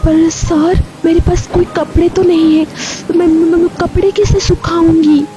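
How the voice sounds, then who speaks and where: A woman voicing a shy, polite young female office worker in an animated story, hesitant and deferential, over music. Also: very high voice, unhurried, pitched above the performer's own voice. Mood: shivering, hesitant. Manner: hesitant, deferential, stammering at times. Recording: music behind the voice.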